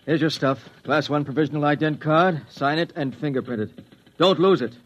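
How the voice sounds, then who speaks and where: An actor playing a humorless, curt government clerk who goes rigidly by the book, in old-time radio style. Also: male voice, on the high side, moderate pace, close to an everyday voice. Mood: brusque. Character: brusque, humorless male bureaucratic clerk. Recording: old radio recording with faint noise.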